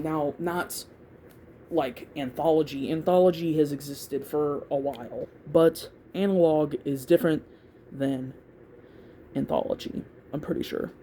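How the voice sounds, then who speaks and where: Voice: medium pitch, warm tone, a little hiss or hum. A vlogger of unclear gender rambling casually through opinions, thinking aloud and repeating words in a hesitant way. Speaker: YouTube video creator giving opinions.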